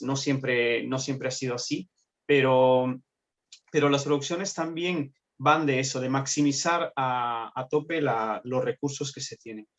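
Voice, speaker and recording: Reflective Guatemalan man, theater director. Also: mid-range voice. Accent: Guatemalan Spanish. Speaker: panel guest, theater director. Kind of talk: online panel discussion.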